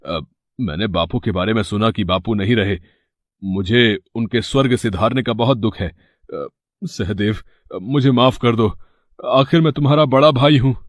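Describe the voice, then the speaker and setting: A man's voice: a little high, no echo, close to an everyday voice. Man playing a scheming, whiny elder brother, dry recording. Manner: whiny, false-sweet, hesitant theatrical pleading. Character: greedy, scheming elder brother faking grief.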